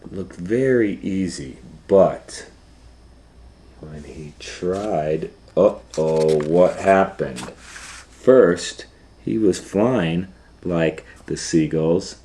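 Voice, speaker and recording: A man reading a children's story aloud as storytime host, pausing to explain things simply in a conversational way. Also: low voice, warm tone, slight background noise.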